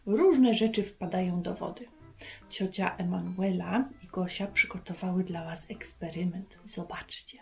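A woman in her thirties telling stories to young children in an expressive way, often putting questions to her listeners. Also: fairly low pitch, unhurried, vocal fry, soft background music. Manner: expressive, addresses viewers with questions. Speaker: host of a children's Bible lesson. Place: furnished room indoors.

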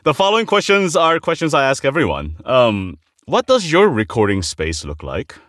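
Male interviewer, playful and exclamatory, lively swooping intonation, casual podcast talk, dry studio sound. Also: mid-range voice, moderate pace, no echo. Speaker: podcast host.

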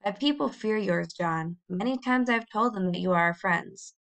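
A woman's voice: medium pitch, normal speed, creaky, fairly bright tone. A woman voicing a stern yet loving pioneer father in a storybook, with an authoritative, grave manner. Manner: authoritative, snapping, then grave.

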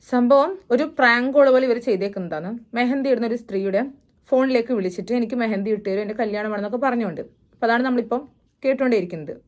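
A woman speaks very quickly in a slightly high voice. She hosts reaction commentary, delivering her opinions in a disapproving, sarcastic manner. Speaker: reaction video host. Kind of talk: opinion commentary on a viral video.

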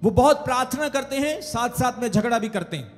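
High-voiced male preacher in a hall. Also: animated, fairly quick, soft background music. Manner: preaching with call-outs for amen. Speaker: pastor. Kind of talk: sermon.

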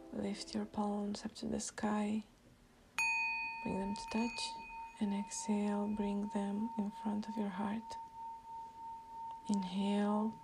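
A woman guiding a meditation in a soft, hushed, breathy way, leaving long pauses between instructions. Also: mid-range voice. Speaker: meditation guide. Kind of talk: guided meditation narration. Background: soft meditation music.

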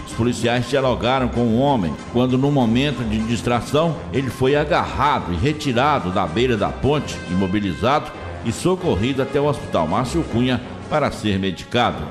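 A man reading police reports in a steady, formal news delivery with a Minas Gerais Brazilian accent. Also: mid-range voice, in a large hall. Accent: Brazilian Portuguese, Minas Gerais.

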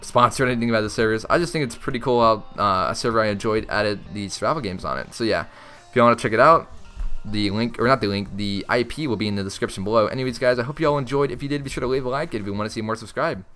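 A young man giving casual, excitable commentary over his own gameplay, talking straight to his viewers, his voice strained from shouting. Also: medium pitch, racing, soft background music. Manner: chatty, excitable, talks to viewers. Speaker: gaming YouTuber.

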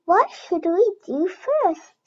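An eager little animal girl from a picture book, voiced by a woman. The voice is very high and childlike, with simple phrasing. The recording is dull and homemade. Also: big pitch swings, slow pace, darkish tone, exaggerated voice. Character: eager young girl animals at a storybook sleepover.

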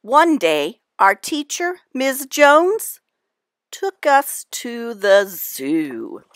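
A woman reading a children's picture book aloud, with expressive emphasis and dramatic pauses. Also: fairly high pitch, dry recording, studio quality. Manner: deliberate, dramatic pauses, animated emphasis for young listeners.

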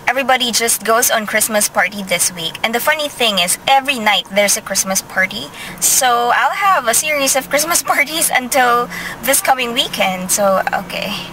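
A young Filipino woman vlogging to the camera from her car, sounding sleepy and a bit hoarse, chatting in a meandering way and correcting herself as she goes. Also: a little high, lively intonation, moderate pace, pushed and bright, a little hiss or hum, rough recording. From Philippines. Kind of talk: casual vlog talk to camera. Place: inside a parked car. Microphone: handheld camera close to face.

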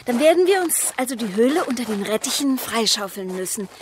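An actress playing a distrustful, sharp-tongued wife in a radio play, speaking precisely and sceptically. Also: female voice, fairly high pitch, sing-song, fairly quick, slight background noise. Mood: suspicious. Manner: pointed, sceptical, precise diction.

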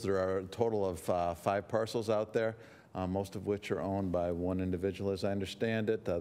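A man's voice: sits low, a little mellow. A man, a consultant, presenting a redevelopment plan to a village board, explaining steadily with frequent fillers. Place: council chamber.

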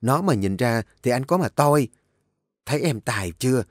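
A man's voice: mid-range voice, expressive, normal speed, no room sound, studio quality, natural voice. A man voicing a desperate young village woman who pleads and wails for mercy. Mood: sly. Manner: wailing, pleading.

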